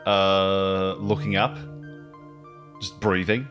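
A man's voice: low-pitched, level tone, drawn-out words, fairly bright tone, soft background music. A man playing in a tabletop role-playing game, casually improvising what the character does.

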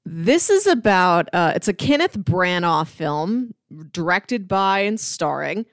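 A woman's voice: lowish voice, sing-song, takes it slowly, dry recording, clean studio sound. A woman co-hosting a podcast, explaining things about films in a casual, conversational way. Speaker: podcast co-host.

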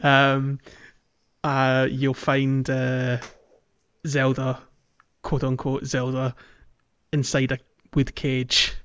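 Male podcast co-host, mid-pitched, halting and rambling casual gaming chat, slightly muffled lo-fi audio. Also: monotone, fairly bright tone, clear, resonant tone. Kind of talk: casual video game playalong chat.

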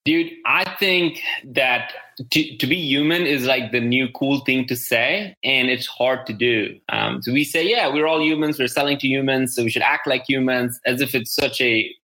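Bright, enthusiastic male podcast host. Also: medium pitch, moderate pace, poor audio. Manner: enthusiastic, repeats words, casual "dude". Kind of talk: casual podcast chat.

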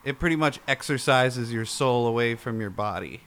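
A man's voice: mid-range voice, moderate pace, a bit bright. A man co-hosting a podcast with casual comedic banter, wry and given to absurd exaggeration. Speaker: podcast co-host.

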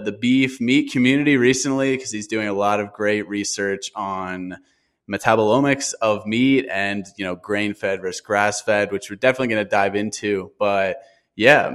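Male podcast host, fairly low pitch, casual conversational delivery. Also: fairly bright tone.

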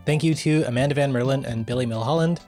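A man's voice: mid-range voice, quick pace, mellow. A man hosting a podcast, reading out credits in a casual, friendly way. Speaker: podcast host. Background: guitar music.

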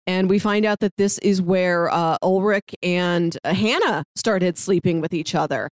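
A woman co-hosting a podcast, talking casually and enthusiastically in an animated, chatty way. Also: fairly low pitch, unhurried, dry recording. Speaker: podcast co-host. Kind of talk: casual discussion of a TV drama.

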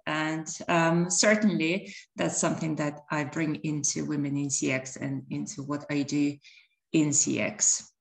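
A woman's voice: deep voice, reverberant. A woman from Russia, speaking as a podcast guest, friendly with hesitant ums. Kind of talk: casual interview talk.

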